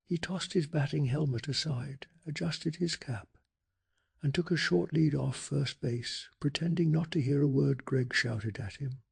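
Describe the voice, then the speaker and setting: A man narrating a bedtime story in a soft-spoken ASMR style, with a hushed, measured, gently dramatic delivery and a breathy, gravelly voice. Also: fairly high pitch.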